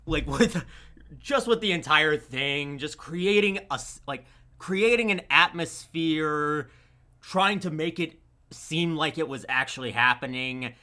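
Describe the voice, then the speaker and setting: A man's voice: on the high side, pushed and bright. A man chatting casually about his creative work, rambling and often restarting his sentences. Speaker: audio drama voice actor and editor. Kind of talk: casual chat.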